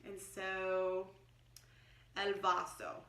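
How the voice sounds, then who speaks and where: A middle-aged American woman talks through a product in a chatty, explanatory vlogger style. Her voice is bright and forward, at an ordinary female pitch. The room adds noticeable echo, and she sounds a little way from the microphone. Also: completely flat delivery, very slow. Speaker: homeschooling vlogger and product reviewer. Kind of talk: casual unboxing review. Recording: roomy echo, distant mic.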